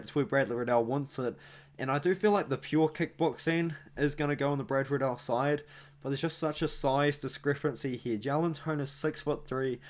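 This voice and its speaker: A young man with a New Zealand accent, hosting an MMA analysis channel and chatting through a fight prediction in a rambling, self-correcting way, with asides to viewers. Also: mid-range voice. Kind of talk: casual fight prediction monologue.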